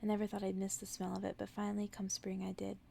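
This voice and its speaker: A woman reads a story for ASMR listeners in a very gravelly, fry-heavy voice at a middling pitch. She speaks softly and often slips into whispering, as a bedtime narration. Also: monotone, fast, slight background noise. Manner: soft-spoken, often dropping into whisper. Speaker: ASMR host reading a story aloud.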